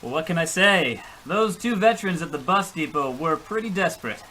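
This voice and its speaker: A man's voice: a little high, lively intonation, a little fast, sharp tone. A man playing a hapless, melodramatic shoe salesman in a comic radio play, earnest, flustered and prone to stammering. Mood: awkward, amiable. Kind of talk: comic old-time radio play acting.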